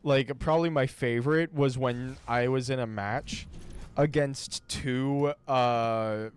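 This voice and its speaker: A man streaming a game, chatting in a relaxed, playful way and laughing easily. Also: mid-range voice, slow pace, clear, resonant tone. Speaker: streamer, host. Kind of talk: casual chat while streaming a game.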